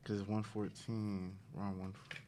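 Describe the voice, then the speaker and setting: A man's voice: fairly low pitch, level tone, unhurried, mellow. A man hosting a podcast, easygoing and reassuring while setting up a recording. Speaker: podcast host. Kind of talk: casual chat, setting up a recording. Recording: noisy room ambience.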